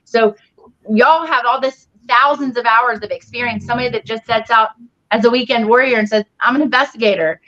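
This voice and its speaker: A woman's voice: fairly high pitch, a little fast, fairly bright tone. A woman speaking earnestly and emphatically in a casual panel talk, as a paranormal investigator and healer. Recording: dull, lo-fi online audio.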